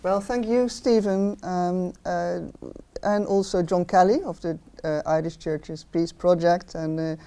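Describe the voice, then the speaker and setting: A woman's voice: fairly low pitch, fairly even intonation, measured pace, warm tone, clean tone. A woman from the Netherlands with a Dutch accent, giving a public talk and hesitating often with ums and restarts. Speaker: philosopher of art, interviewer. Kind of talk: public talk with many fillers. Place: outdoor garden event.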